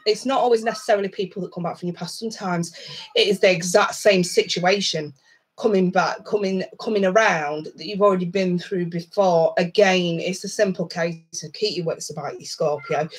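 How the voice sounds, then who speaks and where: A woman's voice: lowish voice, breathy edge, rough recording. A woman doing a casual tarot card reading, with a stuffed-up nose and a blunt, foul-mouthed way of talking. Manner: blunt, swears freely, chatty asides. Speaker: tarot reader.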